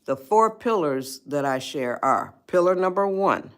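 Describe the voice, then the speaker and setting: A woman in her eighties hosting a casual livestream, chatting slowly and greeting viewers by name. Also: low-pitched, animated. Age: 80s. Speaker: livestream host. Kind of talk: casual livestream advice talk.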